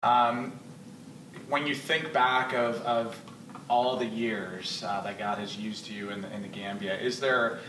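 Church host in his thirties, mid-pitch, echoey room, far mic with hiss. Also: steady tone, measured pace, pushed and bright. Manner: friendly, laughs often, restarts questions. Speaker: church interviewer. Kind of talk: casual interview. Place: church lounge with sofas, indoors. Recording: roomy echo, distant mic, clear hiss.

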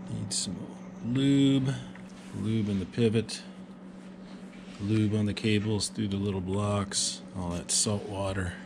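Low-voiced man explaining slowly in a workshop. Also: noisy background. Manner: relaxed, explains as he works, some hesitations. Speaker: boat builder and vlogger. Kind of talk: casual workshop narration. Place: garage and home woodshop.

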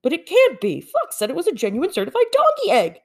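Storybook bear, male: earnest, gullible, excitable, played by a woman, with big pitch swings. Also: a little fast, exaggerated voice. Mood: dismayed.

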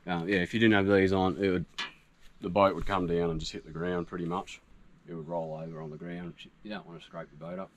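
Australian man, very low voice, easygoing how-to chat, filler words, outdoor noise behind. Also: a little fast. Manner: relaxed, practical, with frequent fillers. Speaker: vlogger. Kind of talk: casual how-to walkthrough. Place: outdoors in a grassy bush camp.

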